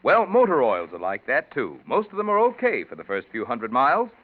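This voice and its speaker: American guy, 40s radio announcer, lively salesy delivery, tinny old recording with faint music. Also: medium pitch, a little fast. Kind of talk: 1940s radio announcing and sponsor copy.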